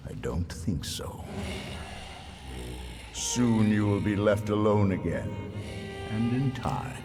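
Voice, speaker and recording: An actor playing a coldly taunting spirit judge in a video game, measured, theatrical and condescending as he makes accusations. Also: on the low side, big pitch swings. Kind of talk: dramatic video game voice acting. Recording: music under the voice.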